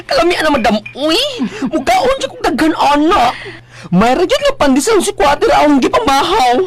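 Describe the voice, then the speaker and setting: An actress with a Cebuano Filipino accent playing an excitable, food-loving household helper in a radio drama, gushing and exclaiming. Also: female voice, sits high, moderate pace, crowd noise. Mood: gushing, delighted.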